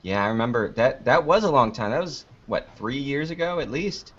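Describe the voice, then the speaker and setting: A man's voice: medium pitch, expressive, moderate pace, fairly bright tone, breathy edge. A young American man chatting casually as an interviewed podcaster, with a joking, playfully melodramatic manner. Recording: dull lo-fi call-like audio.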